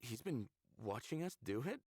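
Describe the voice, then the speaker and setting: A man's voice: mid-range voice, big pitch swings, moderate pace, darkish tone, husky, dry recording, clean studio sound. A man playing an easygoing, easily flustered young lover in a visual novel, casual and sheepish. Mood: casual, curious. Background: game music.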